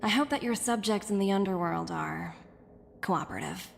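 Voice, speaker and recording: A woman voicing a poised, businesslike young corporate envoy in a video game, speaking in a formal, measured, polite way. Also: mid-range voice, vocal fry, in a large hall, a little hiss or hum. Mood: composed, firm. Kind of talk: scripted video game dialogue.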